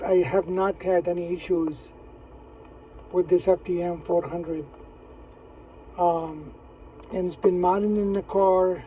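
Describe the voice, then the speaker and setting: A man's voice: high-pitched, warm tone. A man of sixty-one chatting casually and in a laid-back way as an amateur radio operator, repeating himself for emphasis. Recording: distant mic, vehicle noise.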